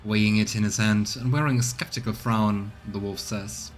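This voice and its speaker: A man's voice: fairly low pitch, steady tone, normal speed. A man streaming a video game, commenting casually and reading the game's narration aloud. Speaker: let's play streamer. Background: game music.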